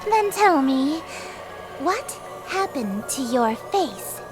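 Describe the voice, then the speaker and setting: A woman's voice: high voice, very animated, slow pace, over music, heavily acted. A woman voicing a haughty, menacing eldritch queen in a visual novel game, with imperious, formal and theatrical delivery. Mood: coldly suspicious. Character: haughty, menacing eldritch queen who calls herself king.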